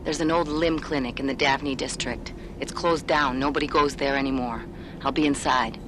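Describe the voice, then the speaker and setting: An actor playing an excited, secretive hacker informant in a video game, urgent and slangy. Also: male voice, medium pitch, normal speed, noisy background, lightly acted. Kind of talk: video game cutscene acting.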